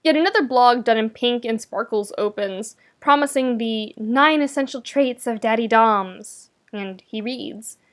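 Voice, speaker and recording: A woman with a fairly high voice narrates a story like an audiobook. Her delivery is wry and conversational, leaning on key words for emphasis. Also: unhurried, few pauses. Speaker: podfic reader, narrator. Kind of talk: scripted narration of fan fiction, expressive audiobook reading.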